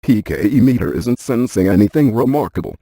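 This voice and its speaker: An actor playing a brainy, dryly cautious ghost hunter scientist in a video game, speaking in a deadpan, precise, technical way. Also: male voice, medium pitch, brisk, dry recording, clean studio sound. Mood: matter-of-fact, explanatory. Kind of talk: scripted video game character dialogue.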